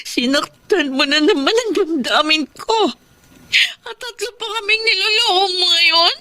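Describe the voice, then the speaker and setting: A woman's voice: very high-pitched, a little slow, pushed and bright, no room sound, heavily acted. An actress playing a betrayed, wounded longtime girlfriend in a tearful, melodramatic Filipino radio drama.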